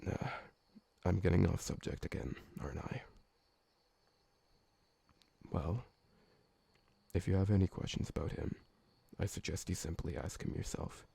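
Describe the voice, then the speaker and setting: Man playing a gravelly, deep-voiced vampire servant. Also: normal speed, warm tone, dry recording, full character voice. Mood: earnest, reminiscing. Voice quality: gravelly, husky. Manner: formal, deferential, rambling. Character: polite, loyal old vampire servant, fussy former bookkeeper, male. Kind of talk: ASMR roleplay acting.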